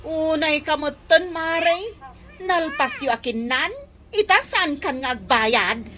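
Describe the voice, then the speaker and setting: An actress plays an impatient female debt collector with a very high voice and an Ilocano accent. She scolds and demands in radio comedy drama style, over clearly audible noise. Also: lively intonation, slow pace, fairly bright tone, full character voice. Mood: demanding. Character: nagging, impatient female neighbour collecting debts.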